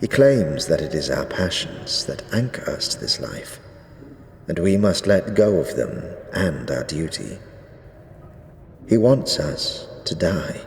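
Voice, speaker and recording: An actor playing a solemn, stern undead priest, devout and archaic in speech, in a fantasy audio drama. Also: male voice, low voice, sing-song, vocal fry, full character voice. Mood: troubled, grave. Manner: archaic, grave, measured pronouncements. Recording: echoey stone hall reverb, faint noise.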